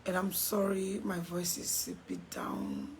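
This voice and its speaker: A woman with a Nigerian accent who has lost her voice, speaking hoarsely and slowly, repeating herself and dropping into whispers. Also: fairly low pitch, wildly swooping pitch, a little hiss or hum. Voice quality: raspy, cracked voice. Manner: slow, halting, repeats herself, drops to whispers. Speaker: vlogger making an apology video. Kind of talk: casual direct-to-camera apology.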